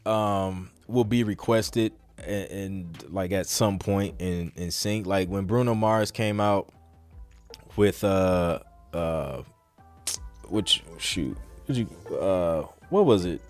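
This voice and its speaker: A man with a lowish voice hosts a casual podcast Q&A. He speaks in an informal, slangy way and rambles as he works through his thoughts. Music plays behind him. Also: slow pace. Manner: informal, slangy, rambling delivery that thinks aloud. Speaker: podcast host answering listener questions. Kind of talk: casual live Q&A chat.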